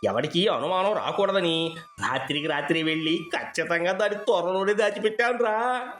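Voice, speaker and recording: A man playing a greedy, boastful rich landowner father in a dramatised moral story, with an expressive, gloating delivery. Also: high voice, normal speed, roomy sound, faint music behind.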